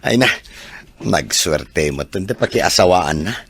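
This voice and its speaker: Evasive unfaithful husband, low husky voice, swinging intonation, Ilocano accent, drawling radio drama. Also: male voice, expressive, measured pace, exaggerated voice. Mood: amused, casual.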